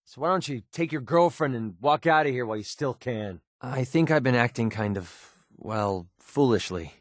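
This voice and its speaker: Guy voice acting a sarcastic young hired gun from a video game, cynical but soft underneath, mid pitch, really dry close sound. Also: no echo, lightly acted. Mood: defiant, then apologetic, earnest. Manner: wry, sarcastic, colloquial delivery. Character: young wisecracking, cynical mercenary with a soft side. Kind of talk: scripted video game companion dialogue.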